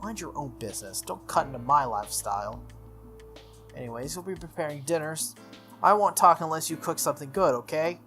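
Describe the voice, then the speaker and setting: A man voicing a proud, bossy teenage girl from a visual novel, speaking in a brisk, demanding, slightly haughty way. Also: fairly high pitch, sharp tone. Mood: irritated. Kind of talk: visual novel character reading. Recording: music playing behind the voice.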